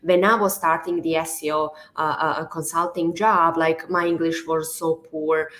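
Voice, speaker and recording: A woman's voice: deep voice, flat delivery, normal speed, a bit bright. A woman from Bosnia with a Bosnian accent, a non-native speaker hosting a podcast interview, leaning on filler words. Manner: leans on "like" and "you know" fillers. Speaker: podcast host. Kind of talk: conversational interview.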